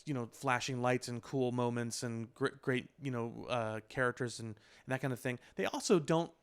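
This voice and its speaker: A man with a mid-pitched voice talks as a podcast co-host. He discusses pop culture casually but at length, giving opinions in fairly elaborate wording. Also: moderate pace, smooth.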